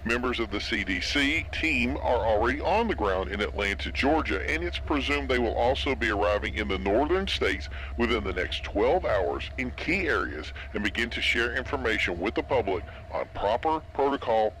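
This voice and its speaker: Man acting as male radio news announcer, apocalypse drama, serious, formal bulletin delivery, medium pitch, light background noise. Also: expressive, normal speed, hardly any pauses, a bit bright, close to an everyday voice. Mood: sober, formal. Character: sober male radio news announcer during zombie outbreak. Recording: noisy radio broadcast with background ambience.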